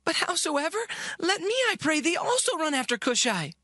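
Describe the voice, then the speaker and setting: Very shrill, eager young messenger. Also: female voice, sing-song, moderate pace, sharp tone, dry recording, studio quality, exaggerated voice. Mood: insistent, pleading. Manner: eager, pleading, archaic speech. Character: eager, insistent youth begging to run as messenger. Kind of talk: dramatized scripture acting.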